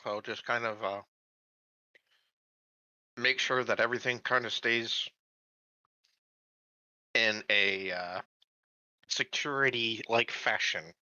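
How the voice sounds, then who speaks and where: A man playing a tabletop game, chatting casually and haltingly, often repeating his words. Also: medium pitch, pushed and bright, no room sound, lo-fi sound. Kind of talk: casual chat during a roleplaying game.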